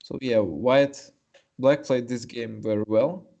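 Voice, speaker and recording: A man's voice: mid-range voice, fairly even intonation, takes it slowly, vocal fry, mellow, dull audio. A young man with a Russian accent, a native Russian speaker, doing live chess commentary in English, chatty and hesitant with many fillers.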